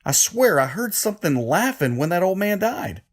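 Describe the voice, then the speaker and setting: An actor playing a troubled condemned killer who confesses in a plain-spoken, easily worked-up way, in a horror audio drama. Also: male voice, sits high, big pitch swings, moderate pace, full character voice. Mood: haunted recollection.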